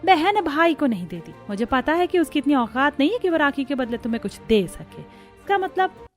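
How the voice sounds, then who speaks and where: A woman voicing a scornful, domineering middle-aged mother-in-law in a family cartoon, sharp-tongued and scolding. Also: high-pitched, sing-song, moderate pace, faint music behind. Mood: dismissive.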